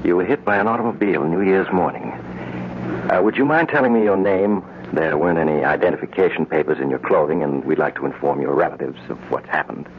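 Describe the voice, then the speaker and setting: A composed hospital doctor with a deep voice and formal manner. It is old radio drama acting, on a dull recording with faint noise. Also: male voice, quick pace. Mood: calm, professional. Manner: calm, formal, questioning. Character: calm, measured male hospital doctor.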